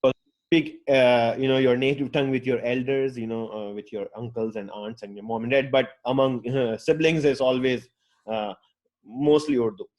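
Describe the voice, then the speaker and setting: Talkative guy, english not his first language, middle pitch, lots of uhs and you knows, easygoing chat about design. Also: steady tone, normal speed, darkish tone. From Pakistan. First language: not English; English is his fourth language. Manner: rambling, many fillers and restarts, outgoing. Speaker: guest speaker, UX designer. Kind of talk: casual talk to an online audience.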